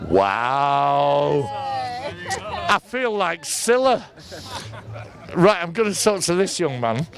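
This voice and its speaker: Northern english bloke, slightly high voice, joking around interviewing people outside at a market. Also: male voice, big pitch swings, takes it slowly, some chatter behind. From northern England. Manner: jokey, bantering. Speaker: host interviewing visitors at an outdoor market. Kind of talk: casual street interviews. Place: outdoors at an urban market.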